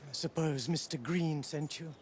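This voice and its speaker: An actor playing a proud, pleasure-loving exiled prince with a courtly, formal manner. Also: male voice, fairly high pitch, normal speed, slight background noise, natural voice. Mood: bored, haughty.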